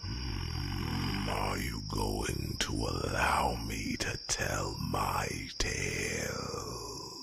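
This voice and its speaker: Very deep, gravelly old dragon. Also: male voice, fairly even intonation, full character voice. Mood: weary, grumpy. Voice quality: deep gravelly, breathy rasp. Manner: slow, halting, solemn delivery. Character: old male dragon, grave, reflective, slightly grumpy storyteller. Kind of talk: fantasy roleplay audio drama, ASMR. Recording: loud noise bed of night crickets.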